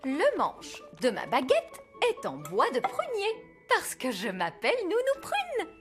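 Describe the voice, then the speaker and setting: A woman's voice: extremely high pitch, wildly swooping pitch, unhurried, faint music behind, exaggerated voice. A woman voicing a bossy cartoon fairy nanny in a French dub, fussy, schoolmistressy and theatrical in manner. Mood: brisk, instructive.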